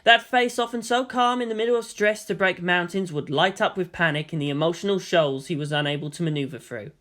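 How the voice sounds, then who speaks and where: A fan fiction reader narrating a long story in a steady, even storytelling voice, acting out the dialogue. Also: mid-range voice, brisk. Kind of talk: audiobook-style narration.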